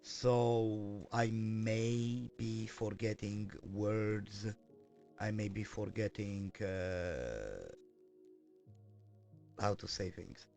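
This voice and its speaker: A man's voice: fairly low pitch, very slow, creaky, darkish tone, faint music behind. A man streaming and chatting casually as he works, hesitant with lots of fillers and self-corrections. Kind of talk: casual live-stream chat while working.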